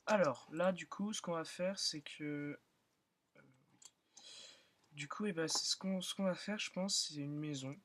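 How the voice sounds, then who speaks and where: A young man who is still in school, slightly hoarse, rambling and thinking aloud with many fillers as he comments on his own gameplay. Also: on the high side, a little slow, pauses often. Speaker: gaming channel host. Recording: loud keyboard and mouse clicking.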